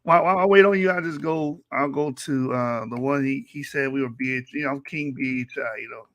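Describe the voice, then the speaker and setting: A man's voice: medium pitch, a little fast, fairly bright tone, no room sound. A young man co-hosting a casual reaction show, laughing often and trash-talking in loose slang. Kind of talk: casual mocking commentary.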